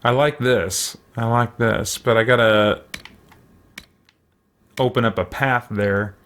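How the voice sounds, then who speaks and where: A man's voice: medium pitch, fairly even intonation, slow pace, fairly bright tone. A man giving casual game commentary, thinking aloud to himself in a musing, tentative way. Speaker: gamer hosting a let's play.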